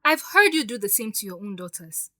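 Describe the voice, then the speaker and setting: A woman's voice: medium pitch, big pitch swings, a little fast, bright, forceful tone, no echo, studio quality. A woman with a Nigerian accent playing a hurt, defiant young stepdaughter in an audio drama, speaking with emphatic, pleading firmness. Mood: wounded, reproachful. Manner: emphatic, pleading yet firm.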